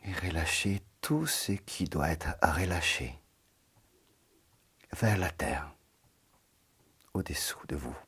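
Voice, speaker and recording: A man guiding a yoga nidra session in a very breathy, husky, low voice. He speaks slowly and calmly, and his lines often sink into a gentle half-whisper. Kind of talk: guided meditation.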